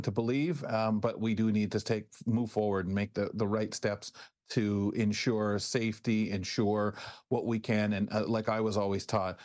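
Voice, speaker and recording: A man hosting a conversational news programme, speaking in a halting way and often correcting himself as he goes. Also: lowish voice, a little mellow. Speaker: radio host.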